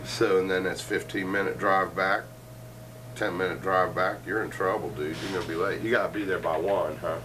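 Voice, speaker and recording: A man's voice: deep voice, fairly even intonation, normal speed. A middle-aged man hosting a casual, joking chat in a cluttered living room, wandering through ideas with lots of asides. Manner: joking, meandering, with frequent asides. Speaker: show host. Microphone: fixed camera across the room. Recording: distant camera mic, faint room noise.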